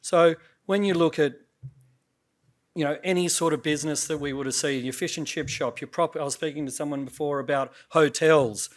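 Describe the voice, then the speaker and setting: Croaky-voiced man pitching on stage. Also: steady tone, moderate pace. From Australia. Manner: loose, filler-heavy, with many restarts. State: slightly croaky voice. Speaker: startup CEO pitching to investors. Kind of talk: conference pitch talk. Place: on stage at an investor event.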